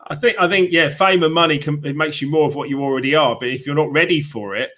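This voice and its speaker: A man's voice: on the high side, fast talker, fairly bright tone. A man hosting an interview in a chatty, easygoing manner. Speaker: host, former DJ. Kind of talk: casual interview chat.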